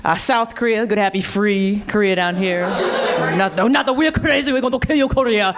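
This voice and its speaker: A woman's voice: mid-range voice, normal speed, over a noisy crowd, very noisy. A woman from the US Midwest with an American accent doing conversational stand-up comedy, repeating her punchlines. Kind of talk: stand-up comedy to a live audience.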